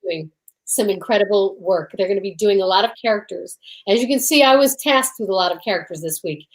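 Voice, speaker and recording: A woman's voice: mid-range voice, brisk, rough recording. A woman co-hosting a casual comedy chat show in a joking, theatrical manner. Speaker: co-host.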